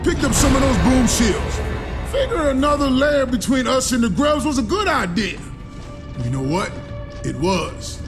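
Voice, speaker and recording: High-pitched, swaggering young soldier. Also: male voice, very animated, normal speed. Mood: matter-of-fact. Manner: colloquial, swaggering. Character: brash young soldier, ex sports star, testifying. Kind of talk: video game voice acting, narrating and battle shouts. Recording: loud game music behind the voice.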